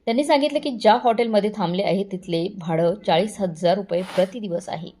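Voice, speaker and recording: A woman's voice: medium pitch, a little fast, dark tone. A woman storyteller reading a scripted first-person family story.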